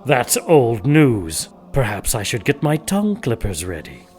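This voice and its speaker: A man's voice: medium pitch, huge pitch swings, unhurried, a little mellow, soft background music. A menacing cruel churchman. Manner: threatening, sneering. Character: menacing cruel male church leader, cold. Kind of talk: audio drama acting.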